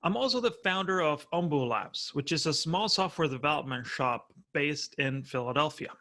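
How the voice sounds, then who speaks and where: A man's voice: a little high, animated, normal speed, bright, forceful tone. A man in his thirties from Argentina with an Argentine Spanish accent, giving a friendly, explanatory prerecorded conference talk from home. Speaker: conference speaker.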